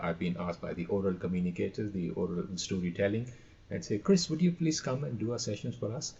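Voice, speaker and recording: A man's voice: lowish voice, gravelly, darkish tone, husky. A man talking enthusiastically in conversation as a guest, often repeating his phrases and telling anecdotes. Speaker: audio and radio trainer, podcast guest. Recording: dull, lo-fi call audio.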